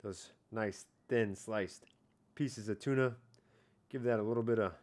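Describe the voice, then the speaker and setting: A man's voice: on the low side, unhurried, a little mellow. A man in his thirties casually talking through a fish tasting on an outdoor patio at night, rambling and hedging with filler words, recorded on a head-mounted camera. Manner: laid-back, rambling, hedging with "I don't know" and "like". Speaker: fishing vlogger. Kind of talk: casual catch-and-cook vlog.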